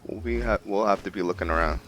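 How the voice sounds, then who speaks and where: Male let's-play host: low, forward bright tone, unhurried halting commentary, faint background noise. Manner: halting, thinking aloud. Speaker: gameplay video host. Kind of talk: casual roleplay game commentary.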